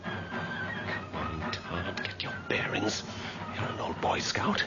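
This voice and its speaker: An actor playing a frightened but defiant skeptic trapped in the dark in a radio horror drama, muttering to himself and flaring into anger. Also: male voice, very high voice, wildly swooping pitch, slow, over loud music, heavily acted. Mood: uneasy muttering. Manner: muttering to himself, bursting into angry shouts. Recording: lo-fi hissy radio drama recording.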